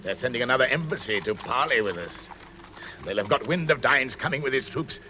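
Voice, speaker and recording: An actor playing a proud, stubborn and greedy dwarf king in a vintage radio drama, haughty and imperious, with a gravelly voice. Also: mid-range voice, lively intonation, fairly quick, sharp tone, slight background noise. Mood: suspicious, scornful.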